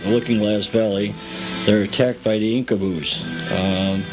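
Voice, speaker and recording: A man with a fairly low voice acts as a guest tour guide. He explains a story one step at a time in a plain, matter-of-fact manner. Music is clearly audible behind his voice. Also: fairly even intonation, moderate pace, poor audio.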